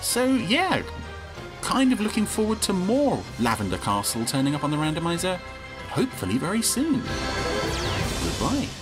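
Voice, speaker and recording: A man with a fairly high, lively voice makes dry, joking remarks as he reacts to a clip. Show music plays under his chatty commentary. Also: moderate pace. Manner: sarcastic, joking asides. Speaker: podcast co-host reviewing an episode. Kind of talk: casual wry commentary over a show's clip.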